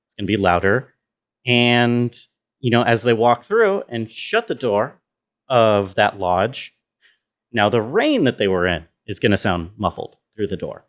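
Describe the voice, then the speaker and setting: A man's voice: fairly low pitch, big pitch swings, unhurried. A man explaining sound design step by step in an informal tutorial style, often dropping in "you know" as he goes. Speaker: sound designer presenting a walkthrough.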